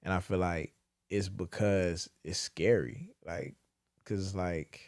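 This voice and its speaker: A man's voice: low voice, fairly even intonation, takes it slowly, darkish tone, dry recording, studio quality. A young adult man, hosting a podcast and talking candidly about his fears in casual, profane speech. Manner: candid, profane, reflective storytelling. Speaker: podcast host. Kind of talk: casual chat.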